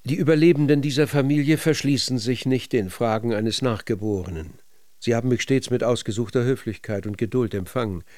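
A man reads scripted literary documentary narration. His delivery is sober and measured, at a medium male pitch, recorded very dry and close without room sound. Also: a little mellow, no echo.

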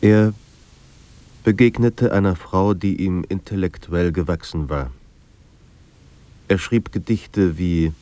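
An actor playing a sombre literary narrator in a radio drama, speaking in a measured, grave manner. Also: male voice, low-pitched, warm tone. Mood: melancholy. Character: sombre literary narrator of a troubled writer's life.

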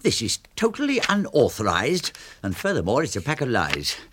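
An actor playing a proud, deluded old knight in a radio drama, pompous, formal and easily affronted. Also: male voice, medium pitch, huge pitch swings, normal speed, heavy background noise. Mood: indignant. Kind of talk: theatrical radio drama acting.

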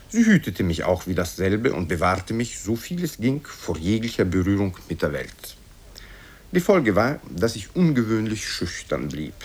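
Male storyteller as old boyar uncle: deep, rough-edged, wistful, sententious, rambling, for vintage radio tales. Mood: wistful, tender.

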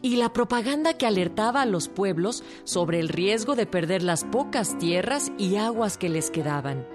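A woman's voice: medium pitch, animated, normal speed, soft background music. A woman with a Mexican accent reading scripted historical narration for a radio drama.

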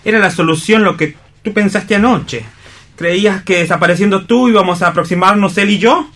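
A tormented young man in love in a radio drama. His voice is fairly high for a man. He speaks earnestly and emphatically, pleading in a melodramatic way. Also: brisk, fairly bright tone. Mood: desperate. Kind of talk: staged radio theatre reading.

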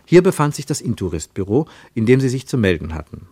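A man narrating a literary radio play in a measured, precise, wry storytelling manner. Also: mid-range voice, big pitch swings, mellow, breathy edge, no room sound. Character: measured, wry male narrator of a travel tale.

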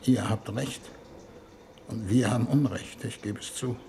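An actor playing a self-justifying, sentimental foreign minister in formal, hesitant radio play dialogue. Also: male voice, lowish voice, steady tone, measured pace, mellow. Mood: solemn, moved. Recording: noisy 1960s radio drama recording.

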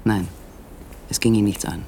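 A man narrating a literary radio play in a measured, suspenseful storytelling manner with dramatic pauses. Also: lowish voice, fairly even intonation, vocal fry, mellow, a little hiss or hum. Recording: faint background ambience.

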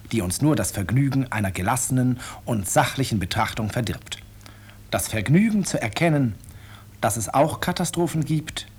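Animated, ironic male author-narrator, slightly husky. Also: mid-range voice. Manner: ironic, courteous, deliberate, emphatic. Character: urbane, ironic male author presenting his own story. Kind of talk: 1950s German radio drama narration.

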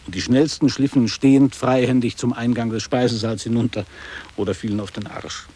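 A man's voice: mid-range voice, steady tone, a little fast, a little mellow, slight background noise, close to an everyday voice. An actor playing a nostalgic middle-aged man in a radio drama, recalling boarding-school years in clipped, declamatory phrases. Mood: wistful recollection. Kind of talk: literary German radio drama monologue.

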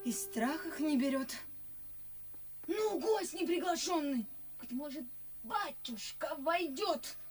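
An actress playing a saucy, lively young maidservant in a verse comedy radio play, with a theatrical, teasing delivery. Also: female voice, sits high, slow, pauses often, faint music behind. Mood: anxious, calling.

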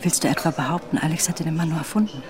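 A determined female investigator voiced by an actress in a radio play. Her voice is distinctly low for a woman. She is earnest, loyal and persuasive. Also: very fast, music in the background, close to an everyday voice. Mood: indignant, insistent.

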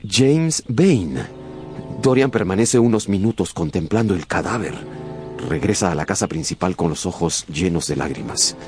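A man's voice: low-pitched, animated, normal speed, darkish tone. A man narrating a radio drama in a scripted, dramatic storytelling style. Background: music.

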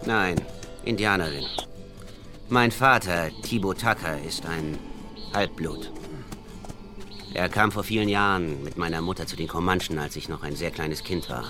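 A taciturn, proud scout raised among Comanches in a western radio drama. His voice is deep, his delivery terse and grave, with music underneath. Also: a little slow, long pauses. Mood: guarded, sombre. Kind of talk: German radio play acting.

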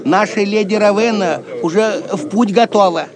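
An actor playing a playful, affectionate court jester in an audio drama, with wry, teasing wit. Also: male voice, high-pitched, normal speed, a crowd in the background. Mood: mocking, playful. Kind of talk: Russian audio drama acting.

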